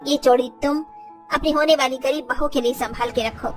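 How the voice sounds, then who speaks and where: A woman's voice: high voice, fairly even intonation, normal speed, distant mic, faint music behind, natural voice. A woman voicing a haughty, boastful rich mother-in-law in a moral story, with a condescending manner. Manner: condescending, gossipy.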